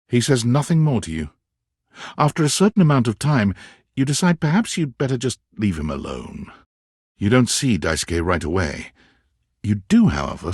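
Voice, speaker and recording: A man narrating a romantic comedy video game with measured, dramatic storytelling and deliberate pauses. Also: mid-range voice, darkish tone, husky, no room sound, studio quality. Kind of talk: scripted second-person narration of a dating game.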